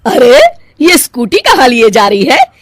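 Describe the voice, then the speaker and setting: Bossy, high-pitched older mother-in-law. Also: sing-song, measured pace. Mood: scolding, smug. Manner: commanding, scolding, sarcastic. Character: bossy, sharp-tongued older mother-in-law twin, scolding. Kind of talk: Hindi cartoon voice acting.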